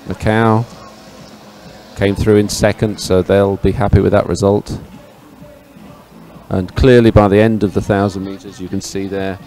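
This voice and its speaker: Low-pitched male sports commentator. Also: little pitch movement, measured pace, long pauses, dark tone, faint music behind. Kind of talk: live race commentary, partly ad-libbed. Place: live broadcast at an outdoor regatta venue.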